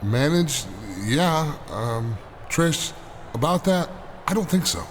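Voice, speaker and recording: A man's voice: a little high, animated, takes it slowly. A man playing a cocky, dismissive big pro wrestler in a video game cutscene, with a smug, swaggering manner. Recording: noisy game cutscene audio.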